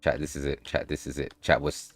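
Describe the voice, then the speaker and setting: A man with an extremely deep voice talks loosely to his livestream chat while coding and playtesting, swearing freely and breaking into sudden excited outbursts. His voice sounds dry and close, with no room echo. Faint music plays in the background. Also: fairly quick. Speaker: livestreamer and game developer.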